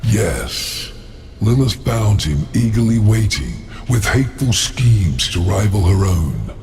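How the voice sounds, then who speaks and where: A man's voice: lowish voice, big pitch swings, slow pace, darkish tone, slight background noise, heavily acted. A man voicing a sly, self-serving demon lord in a video game, smug and eloquent. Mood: amused, scheming. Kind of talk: theatrical video game acting. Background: music.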